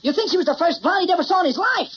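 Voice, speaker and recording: An actor playing a brash, leering tabloid photographer in an old crime drama, pushy and slangy. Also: male voice, very high voice, animated, rapid-fire, a bit bright. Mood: indignant. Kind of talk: mid-century American crime drama acting. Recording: muffled, noisy old drama soundtrack.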